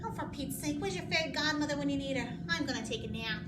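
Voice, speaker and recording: A woman's voice: high-pitched, animated, fast talker, pitched above the performer's own voice. A woman voicing a sassy, exasperated young princess from a storybook, whiny and blunt as she complains. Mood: weary, fed up. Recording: echoey room with loud background noise.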